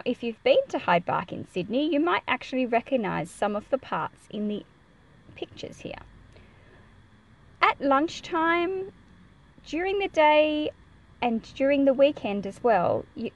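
A woman's voice: sits high, very animated, measured pace, dull audio. A woman reading a picture book aloud and explaining it to children in a careful, teacherly way. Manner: careful, explanatory, enunciated for young listeners.

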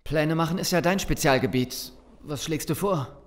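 A man's voice: a little high, normal speed, lightly acted. An actor playing an agitated, loyal and defiant male survivor in an audio drama, speaking urgently. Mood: reassuring.

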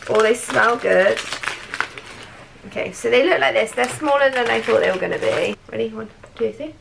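A woman in her twenties chatting casually and expressively to a vlog, croaky from a cold and sore throat. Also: fairly high pitch, normal speed. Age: 20s. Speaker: vlogger. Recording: clear background noise.

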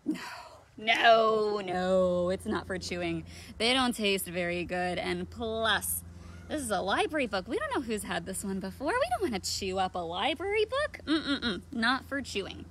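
A woman's voice: medium pitch, very animated, measured pace, fairly bright tone, slight background noise. A woman in her thirties hosting a children's read-aloud outdoors, theatrical and playful, full of questions. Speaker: children's librarian, storytime host. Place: outdoors in a leafy backyard.

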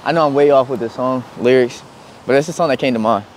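A young man talks straight to his viewers in relaxed, slangy vlog chat. His voice sits at an ordinary middle pitch. Faint traffic and outdoor noise hum behind him. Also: quick pace. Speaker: vlogger. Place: outdoors in parking lots and inside a big store.

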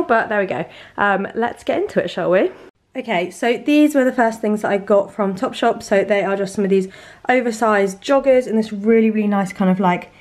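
Chatty young woman, slightly hoarse. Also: mid-range voice, darkish tone. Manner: chatty, lots of "um" and "like". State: slightly sore throat from a cold. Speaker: vlogger. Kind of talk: casual chat to camera. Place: bedroom at home, indoors. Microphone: handheld camera, arm's length or mirror.

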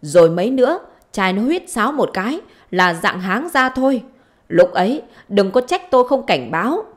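A woman's voice: medium pitch, sing-song, normal speed. A woman voicing a spiteful, foul-mouthed middle-aged village wife in a story, scolding, wailing and taunting.

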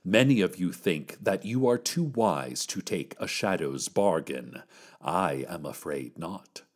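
A man's voice: deep voice, animated, takes it slowly, heavily acted. A man playing a solemn, cryptic keeper of a magical stairway, giving formal, ominous warnings in audio fiction. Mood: grave warning. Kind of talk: scripted audio-fiction monologue.

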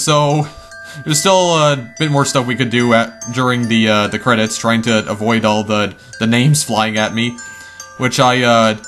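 Male let's-player, slightly hoarse, casual exclamation-heavy commentary, faint music underneath. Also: medium pitch, a bit bright. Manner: chatty, easily startled, lots of exclamations. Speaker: let's play gamer.

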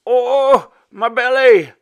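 A man voicing a pained knight father in a storybook, wailing desperately with drawn-out cries. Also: extremely high pitch, a bit bright, clean tone, voice pushed up, heavily acted.

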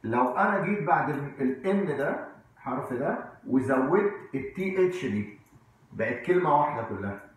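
A man's voice: fairly high pitch, lively intonation, moderate pace. A man in his forties with an Egyptian accent giving an informal language lesson, repeating words and asking check questions in a conversational way. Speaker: English teacher. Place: indoor room at a whiteboard. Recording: very echoey room.

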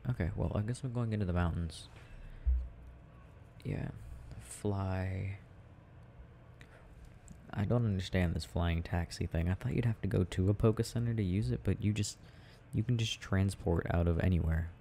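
A young man playing an affectionate, gently teasing boyfriend in an ASMR audio roleplay, murmuring and rambling as he games. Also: low-pitched, normal speed, slight background noise. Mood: absorbed, rambling.

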